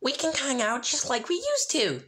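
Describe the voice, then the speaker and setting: Bubbly cartoon pony girl, excitable, pitched well up by a fan reader. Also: normal speed, heavily acted. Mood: excited. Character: hyperactive, bubbly pony girl, sometimes downcast.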